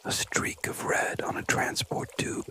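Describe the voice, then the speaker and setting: A storyteller whispers a children's bedtime tale in ASMR style. The whisper is bright and breathy, with no voiced tone. Phrases come deliberately, in short pieces with frequent pauses.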